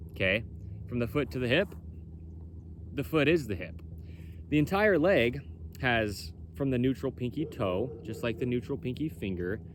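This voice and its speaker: A man with a loud, carrying voice explains things off the cuff. He talks boldly and emphatically, circling back to repeat his points. There is some ambient noise behind him. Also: medium pitch. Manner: bold, emphatic, repetitive. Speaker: presenter for a clothing company. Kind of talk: impromptu explainer on body mechanics.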